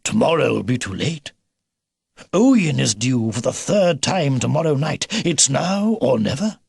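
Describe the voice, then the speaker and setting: Man voicing a blustery old grandfather beaver, gravelly. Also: mid-range voice, sing-song, a little slow, a little mellow, dry recording, studio quality, full character voice. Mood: grave, gentle. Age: older. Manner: hearty old-fashioned British military bluster. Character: kindly old grandfather beaver, excitable and gruffly military.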